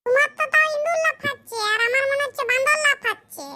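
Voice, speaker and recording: A performer voicing a dutiful young village son in a comedy cartoon, with a shrill pitched-up voice. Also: fast talker, pushed and bright, heavily acted. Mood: playful, hungry.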